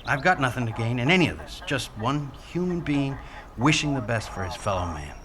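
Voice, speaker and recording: An actor playing an earnest New York cop talking down a jumper in an audio drama, plain-spoken and insistent. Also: male voice, mid-range voice, big pitch swings, brisk, close to an everyday voice. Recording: faint background noise.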